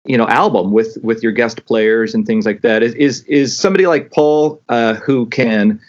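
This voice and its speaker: A man's voice: medium pitch, moderate pace. A man hosting a podcast, talking eagerly with many restarts and stumbles in a casual interview. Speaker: podcast host. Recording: dull lo-fi sound.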